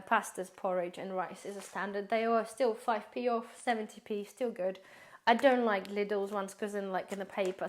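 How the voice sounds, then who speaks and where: A woman prepper vlogger chatting casually through a grocery haul, rambling, addressing viewers as guys, her voice slightly hoarse. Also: mid-range voice. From based in the UK.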